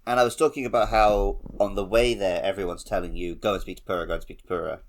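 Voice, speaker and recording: Guy on a games podcast, fairly low voice, just chatting and explaining stuff. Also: flat delivery, quick pace. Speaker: podcast co-host. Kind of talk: casual chat about video games.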